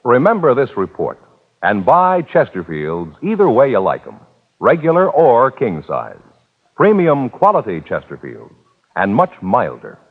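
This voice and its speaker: A man's voice: mid-range voice, wildly swooping pitch, measured pace. A man announcing an old radio sponsor ad in an emphatic, salesy delivery. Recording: tinny old radio, reverberant studio.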